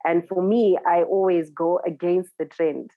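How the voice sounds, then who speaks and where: Zimbabwean woman DJ, deep voice, unhurried and reflective, dry close lo-fi recording. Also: fairly even intonation. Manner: thoughtful, searching for words, laughs easily. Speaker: DJ guest. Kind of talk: casual interview.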